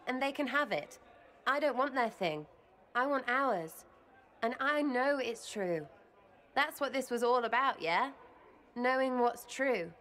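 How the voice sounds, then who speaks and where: A cheeky young elf woman from a fantasy video game, with an English accent. Her voice is forward and sharp, and she takes her time, stumbling playfully through slangy, heartfelt words. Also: fairly high pitch. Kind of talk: video game cutscene dialogue.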